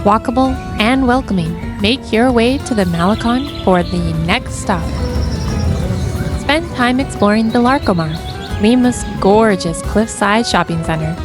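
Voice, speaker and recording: A woman's voice: fairly high pitch, lively intonation, unhurried. A woman narrating a travel vlog in an upbeat, scripted tour-guide voice-over. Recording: voice-over with music behind.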